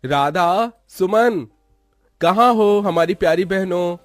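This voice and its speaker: A humble young labourer voiced by a man with a fairly high voice. He sounds earnest and respectful, an affectionate brother in a cartoon fable, with music underneath. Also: slow. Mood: affectionate calling. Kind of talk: moral-story cartoon voice acting.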